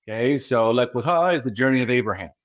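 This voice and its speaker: A man's voice: mid-range voice, animated, fast, no echo, muffled recording. A man from California with an American accent, a spiritual guide being interviewed, who talks emphatically and keeps asking rhetorical questions. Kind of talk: interview talk.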